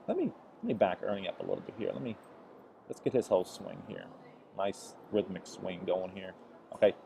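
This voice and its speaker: A man's voice: lowish voice, mellow, a little hiss or hum. A man recovering from the flu, teaching a golf lesson over swing footage, with frequent restarts and a repeated "okay". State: recovering from flu, voice deeper than usual. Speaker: golf instructor.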